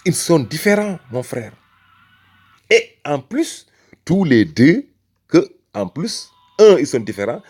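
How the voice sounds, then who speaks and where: Emphatic man with a lively rising voice. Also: fairly high pitch, slow, breathy edge. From Senegal. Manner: emphatic, rhetorical, repeats phrases and addresses the listener as brother. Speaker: religious speaker. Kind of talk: impassioned religious argument.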